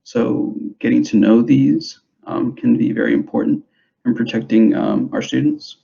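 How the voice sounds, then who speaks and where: A young man who grew up in the United States, giving an informal presentation to parents in a hesitant, halting way, on a dull, muffled recording. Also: medium pitch, gravelly, dark tone. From grew up in the United States, born in El Salvador. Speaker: school substance abuse prevention specialist.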